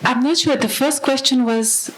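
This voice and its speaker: A woman's voice: high-pitched, normal speed, a little hiss or hum, poor audio. Woman searching for words. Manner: searching for words, many fillers and restarts. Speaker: author presenting her work. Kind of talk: public talk and answering questions.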